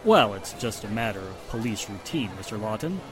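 An actor playing a considerate, businesslike police inspector in a 1940s-style radio drama. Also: male voice, fairly low pitch, big pitch swings, normal speed. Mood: grave, official.

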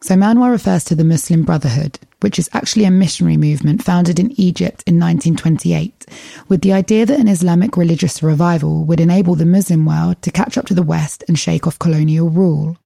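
A woman hosting an interview podcast, with a low, gravelly voice that carries a dark, mellow tone. She sounds friendly and encouraging, and she also steps aside to explain background for the listeners. The sound is dry and close to the mic. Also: quick pace, no echo. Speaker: podcast host.

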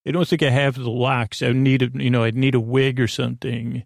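Male bedtime podcast host, mid-pitched and gravelly, goofy and digressive, halting delivery, recorded dry. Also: level tone, dry recording. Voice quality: creaky, gruffish voice. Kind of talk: rambling, meandering monologue to lull listeners to sleep.

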